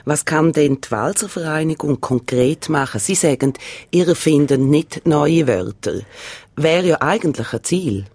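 A man's voice: fairly high pitch, lively intonation, a little slow, a little mellow, husky, no echo. A man with a Swiss German accent asking direct, probing questions in a radio interview.

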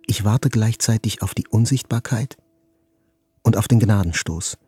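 An actor playing a wounded survivor, narrating in a measured, literary way as in a radio play. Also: male voice, fairly low pitch, warm tone, husky, dry recording, faint music behind. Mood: grave, tense. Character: wounded male survivor narrating a terror attack, intense, inward.

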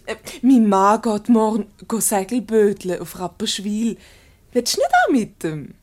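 An actress playing a brisk, mischievous wife in a Swiss German radio comedy, breezy and in command. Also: female voice, mid-range voice, darkish tone, lightly acted. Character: brisk, mischievous wife steering her flustered husband. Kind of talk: radio comedy acting in dialect.

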